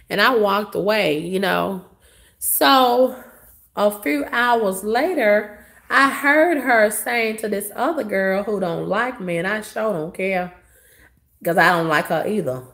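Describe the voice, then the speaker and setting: Hoarse coughing lady venting to her viewers, blunt and cussing, mid-pitched voice. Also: slow, fairly bright tone. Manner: blunt, profane, dismissive. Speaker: vlogger. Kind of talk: casual storytelling to viewers.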